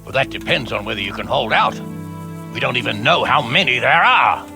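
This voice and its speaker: A skeptical noble soldier in a game drama. His gravelly, mid-pitched voice is blunt and questioning. Music runs behind him. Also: animated, brisk. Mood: doubtful. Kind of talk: dramatic video game cutscene acting.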